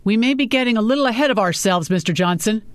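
A woman's voice: fairly high pitch, animated, quick pace, dry recording, pitched above the performer's own voice, close to an everyday voice. A woman voicing a brusque, sarcastic male law firm boss in an audiobook, curt and commanding. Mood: brisk, professional. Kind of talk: audiobook character dialogue.

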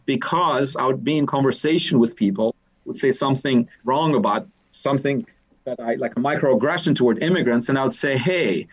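Albanian-accented man, halting thoughtful talk. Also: medium pitch, slightly rough, low-quality recording. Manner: thoughtful, many restarts and repeated words. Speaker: interview guest, professor. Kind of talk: conversational interview.

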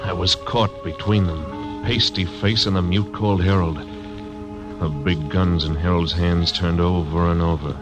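An actor playing a hard-bitten frontier marshal, narrating tersely in a 1940s radio drama. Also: male voice, deep voice, fairly even intonation, unhurried. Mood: grim. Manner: terse, grim first-person narration. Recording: old radio broadcast with music.

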